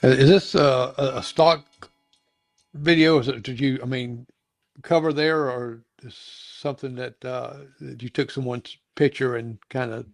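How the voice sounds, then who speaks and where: A man hosting a casual interview, asking questions in a halting way and repeating his words. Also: medium pitch, steady tone, dry recording, soft background music. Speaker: interview show host.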